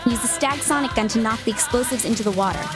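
An actress playing a snarky, bossy tech expert in a video game, with clipped, dry sarcasm. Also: female voice, on the high side, quick pace, natural voice. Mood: brisk, snarky. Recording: loud music behind.

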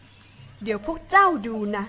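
A woman's voice: a little slow, close to an everyday voice. A woman voicing a boastful male hunter in a storybook tale, bragging to his friends. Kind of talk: storybook character voice.

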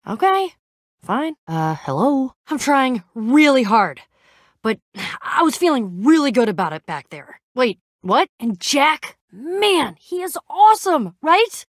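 High-voiced, lively young adventurer. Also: female voice, slow pace, no room sound, studio quality, full character voice. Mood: eager, awkward. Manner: casual, slangy, eager and awkwardly trying to sound cool. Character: brash young woman adventurer, excitable, sarcastic, starstruck fangirl. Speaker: voice actor in a video game. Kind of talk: animated game dialogue acting.

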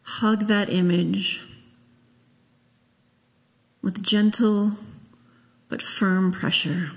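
A woman minister leading a guided meditation, speaking gently and in a measured way with long pauses. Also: medium pitch, steady tone. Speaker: minister leading a memorial service. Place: large church sanctuary, at a pulpit. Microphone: gooseneck pulpit microphone. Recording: long echo of a church hall.

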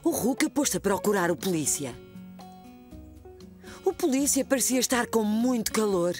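A woman with a European Portuguese accent narrating a children's cartoon like a gentle storybook reader. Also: medium pitch, very animated, normal speed, faint music behind, close to an everyday voice. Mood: storytelling.

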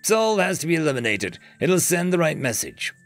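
Man doing a telepathic alien warlord, cold and urgent, raised pitch. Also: very animated, normal speed, faint music behind, lightly acted.

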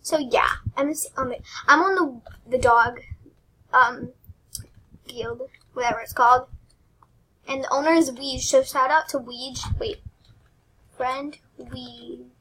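Young woman, squeaky and high, rambling and giggly, self-mocking game channel host. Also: fairly even intonation, slow, pauses often, pushed and bright, breathy edge, poor audio. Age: teens. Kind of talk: casual gameplay commentary.

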